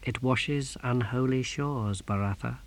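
A man voices a high-ranking holy inquisitor in a fantasy audiobook. He speaks very softly and deliberately, with cold piety. His courtesy carries quiet menace. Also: natural voice. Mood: coldly pious. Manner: hushed, measured, menacingly courteous. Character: cold, sinister pious male inquisitor of high rank.

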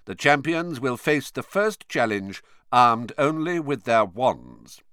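A man's voice: mid-range voice, big pitch swings, slow pace, bright, forceful tone, clear, resonant tone, dry recording, clean studio sound, pitched above the performer's own voice, exaggerated voice. A man voicing a stern older male official in a formal, clipped manner.

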